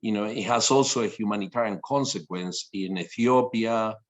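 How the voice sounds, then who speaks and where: A man's voice: fairly low pitch, normal speed. A man speaking as a panelist on a video call, phrasing loosely and searching for his words. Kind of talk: casual panel discussion.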